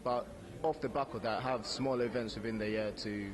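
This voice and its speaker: A man's voice: on the low side, steady tone, normal speed. A young man from Uganda, who grew up speaking Luganda and Runyankole, answering an interviewer's questions in an earnest, thoughtful way and often repeating his first words. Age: young adult. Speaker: interviewee at community event. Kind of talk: casual street interview. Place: outdoor community event. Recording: outdoor recording with crowd noise. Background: crowd chatter.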